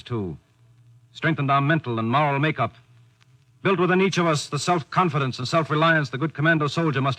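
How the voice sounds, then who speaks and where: A man plays an earnest young soldier telling his story in a wartime radio drama. His bright, mid-pitched voice moves expressively through the scripted narration. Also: close to an everyday voice, low-quality recording. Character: earnest, steadfast young commando soldier recalling his training.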